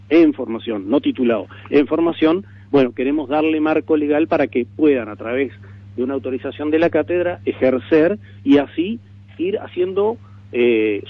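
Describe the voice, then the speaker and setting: A man's voice: on the high side, slightly rough, dry recording. Uruguayan man on tinny narrowband radio. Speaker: political interviewee. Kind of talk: radio interview, explanatory.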